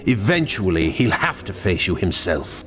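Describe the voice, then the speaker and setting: A man playing a serious advisor in a dark fantasy video game, earnest and dramatic. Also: low-pitched, very animated, normal speed, a little hiss or hum. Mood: firm counsel.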